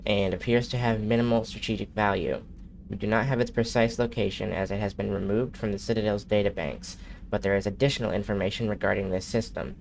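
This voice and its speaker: A man streaming a video game who reads in-game messages aloud in a steady, unhurried way, with a nasal voice and occasional slips. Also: lowish voice, gravelly, a little hiss or hum. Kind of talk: reading in-game messages aloud during a live gameplay stream.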